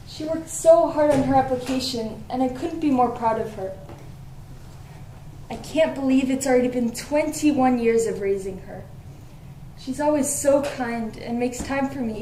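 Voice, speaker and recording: Stage monologue: actress as a devoted middle-aged mother, highish voice, earnest and measured, in a roomy hall. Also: darkish tone, natural voice. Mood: proud, tender. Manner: tender, earnest, measured recitation. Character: loving, forgetful middle-aged mother reading her diary. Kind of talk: dramatic monologue in a one-act play. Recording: echoey stage, background noise.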